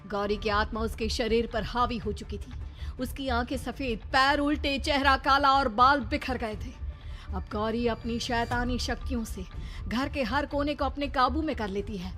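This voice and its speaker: A woman's voice: a little high, normal speed, bright, forceful tone. Woman narrating a horror tale over music. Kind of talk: scripted horror story narration.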